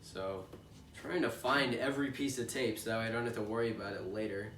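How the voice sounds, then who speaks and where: A man's voice: fairly low pitch, fairly even intonation, a little fast, a bit bright. A young man with a congested voice, chatting casually as he unboxes a toy, rambling with lots of fillers. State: congested from allergies. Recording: echoey room, distant mic, noisy background.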